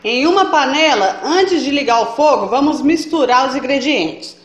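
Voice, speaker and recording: A woman's voice: high-pitched, lively intonation, moderate pace. A woman hosting a cooking video who explains each step and keeps checking in with her viewers. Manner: chatty, checks in with viewers often. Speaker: cooking channel host. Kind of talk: step-by-step recipe demonstration. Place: home kitchen. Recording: echoey kitchen with faint noise.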